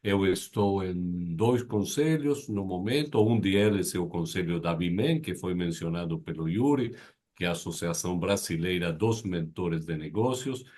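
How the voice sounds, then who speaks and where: A man in his fifties who speaks with an Argentine Spanish accent, his voice sitting very low. He introduces himself on a live video panel, upbeat and polite, with small slips in his second language. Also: normal speed, darkish tone. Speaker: board advisor and business mentor. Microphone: video call.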